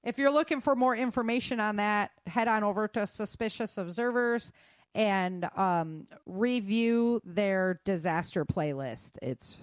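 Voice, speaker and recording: A woman's voice: medium pitch, a little slow, clear, resonant tone, dry recording. A woman hosting a show, running through news in an informal, slangy way with chatty asides. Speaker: host of a survival news show.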